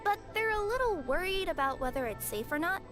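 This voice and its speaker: Very high, bubbly cat girl. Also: lively intonation, brisk. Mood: delighted. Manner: bubbly, cute, chatty. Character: perky, friendly young female cat-creature courier. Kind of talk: scripted anime-style video game dialogue. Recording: game music behind the voice.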